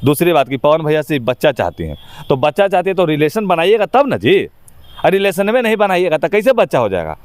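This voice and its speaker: Man, Bihari accent, raised pitch, emphatic colloquial delivery, opinionated interview talk, very dry sound. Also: sing-song, a little fast, no echo. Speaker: interview guest, actor.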